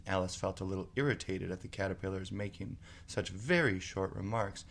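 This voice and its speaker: A man's voice: sits low, brisk, vocal fry, fairly bright tone, slight background noise. A man narrating a children's classic aloud as a storybook reader, in scripted prose.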